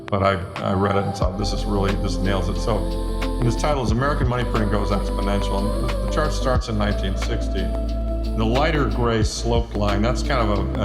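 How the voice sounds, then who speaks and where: A man giving an off-the-cuff financial commentary, conversational and emphatic, checking in with rhetorical questions. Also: lowish voice. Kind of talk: off-the-cuff chart explanation in a talk. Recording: echoey, music laid under the voice.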